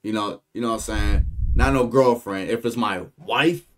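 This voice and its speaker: A man's voice: lowish voice, normal speed. Young American male streamer, headset mic, loose rambling jokey reaction chatter. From United States. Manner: loose, rambling and joking, talking to chat. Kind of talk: casual live-stream commentary.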